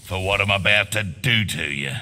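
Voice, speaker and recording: A man with an Australian accent playing a gruff, taunting mercenary villain in a video game. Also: sits low, moderate pace, bright, forceful tone, slight background noise. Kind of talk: video game fighter banter.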